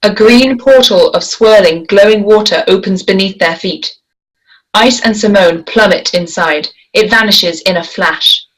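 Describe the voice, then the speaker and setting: A woman's voice: medium pitch, poor audio. Woman reading stage directions. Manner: plain, measured reading. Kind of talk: table read stage directions.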